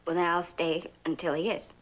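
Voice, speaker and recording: A woman's voice: lowish voice, little pitch movement, a little fast, natural voice. An actress playing a defiant, resentful female companion, terse and dramatic, in an old suspense drama. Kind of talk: old radio and television suspense drama acting. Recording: noisy vintage drama recording.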